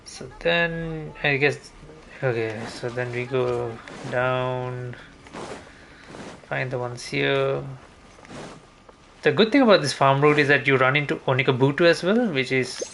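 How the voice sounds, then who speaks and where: A man's voice: medium pitch, a little slow, gravelly, a bit bright, slight background noise. A man giving casual gameplay commentary, thinking aloud as he mutters through each step to himself. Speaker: video game streamer. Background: game music.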